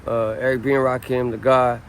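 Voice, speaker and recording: A man's voice: mid-range voice, fairly quick. A man in his late thirties from Southern California, telling his story in a casual street interview, full of ums and restarts. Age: 30s. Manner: relaxed, rambling, many ums and restarts. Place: outdoors on a city street. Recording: faint street noise outdoors.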